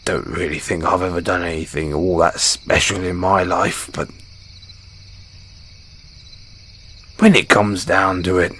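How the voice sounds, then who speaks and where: Man playing deep, folksy dwarven blacksmith. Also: a bit bright, a little hiss or hum. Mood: modest, resolute. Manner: folksy, chuckling, hesitant storyteller. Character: kind, modest, battle-scarred dwarven blacksmith, hearty adventurer. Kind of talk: fantasy audio roleplay, spoken to a companion.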